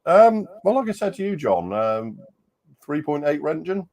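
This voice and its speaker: A man's voice: a little high, very animated, measured pace, a little mellow. A man co-hosting a live chat over a video call, audibly bunged up from an illness, speaking hesitantly with lots of ums and dry understatement. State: congested, still coughing. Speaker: co-host. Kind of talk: casual live-stream chat.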